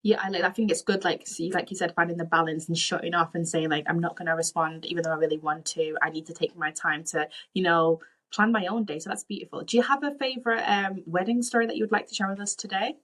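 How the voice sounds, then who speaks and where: A woman's voice: lowish voice, quick pace, hardly any pauses, a bit bright, rough recording. A woman hosting a podcast. She asks questions and reacts to the answers with encouraging affirmations. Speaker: podcast host. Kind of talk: interviewing a guest.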